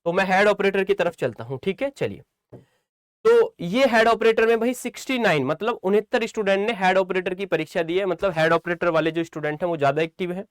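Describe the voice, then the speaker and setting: A man's voice: sits high, fairly quick, no room sound, studio quality. A man giving informal instructional talk to students as a coaching teacher, repeating phrases for emphasis and often checking that they follow.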